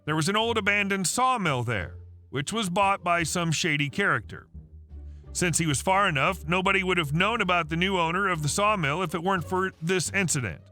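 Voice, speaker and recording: Bright, high-pitched male narrator. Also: brisk, faint music behind. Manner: steady, even read-aloud delivery. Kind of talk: scripted narration of online stories.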